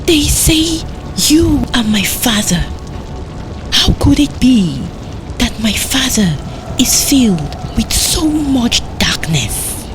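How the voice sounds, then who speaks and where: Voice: mid-range voice, big pitch swings, takes it slowly, over music, pitched above the performer's own voice. A storyteller voicing a resolute young goddess in a folktale, earnest and defiant as she confronts her father. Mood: pained questioning. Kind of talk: dramatic folktale character voice.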